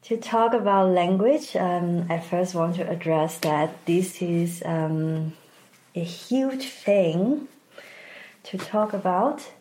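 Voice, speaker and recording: A woman from Taiwan, a native Mandarin speaker with a Taiwanese accent, talking reflectively as an interview guest and pausing to search for words. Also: lowish voice, mellow, poor audio. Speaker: podcast guest, dance artist.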